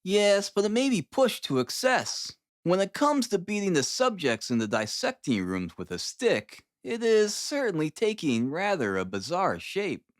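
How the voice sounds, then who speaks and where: A man voicing a chatty, gossipy young male colleague in an audiobook, with an animated, emphatic manner. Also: sits high, unhurried, hardly any pauses, dry recording, clean studio sound, voice pushed up. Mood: wary, gossipy. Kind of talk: audiobook character dialogue.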